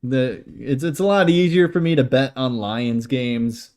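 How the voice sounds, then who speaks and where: American male amateur sports streamer, relaxed dark tone, mid pitch, rambling and informal between play calls. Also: measured pace. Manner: rambling, informal, sweary, talks sports betting slang. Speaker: amateur livestream football play-by-play commentator. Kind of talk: casual play-by-play commentary mixed with chat.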